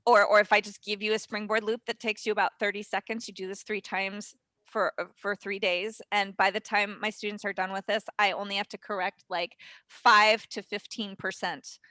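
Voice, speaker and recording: A woman talks through her coaching method in an animated, fast-moving way, full of quick asides. Her voice is bright and forward, and the call mic sounds close and dry, with no room around it. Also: mid-range voice, dry recording. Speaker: voice and dialect coach. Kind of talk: webinar guest explaining a method. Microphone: webinar call mic.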